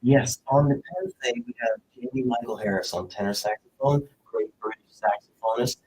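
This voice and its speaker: Relaxed guitarist guy from puerto rico, mid-pitched, chatty and rambling. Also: moderate pace, breathy edge, poor audio. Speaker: guest jazz guitarist. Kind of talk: casual interview chat.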